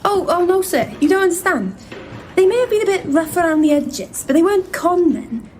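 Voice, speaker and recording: A high-pitched, earnest young female intern in an audio play. She is polite and loyal, telling her story carefully over faint noise. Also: expressive, a little fast, darkish tone. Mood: earnest, defensive. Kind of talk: audio drama acting.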